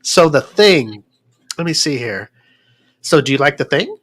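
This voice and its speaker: A man's voice: fairly high pitch, very animated, a little slow, fairly bright tone. Enthusiastic male talk show host. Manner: enthusiastic, repeats words, restarts sentences. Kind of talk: casual interview chat.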